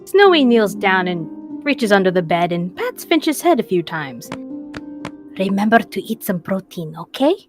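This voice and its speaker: A woman's voice: mid-range voice, sing-song, a little slow, over music. A woman taking part in a tabletop roleplaying game, switching between narration and character dialogue. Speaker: player.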